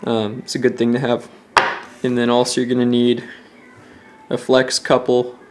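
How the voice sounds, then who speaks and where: A young man with a medium-pitched voice walks through a homemade project in an informal way. He thinks aloud as he goes, a little hesitant, like an amateur tutorial presenter. He is recorded indoors at home, close to a handheld camera. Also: completely flat delivery, moderate pace, music in the background. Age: young adult. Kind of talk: casual how-to explanation. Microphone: handheld camera at close range.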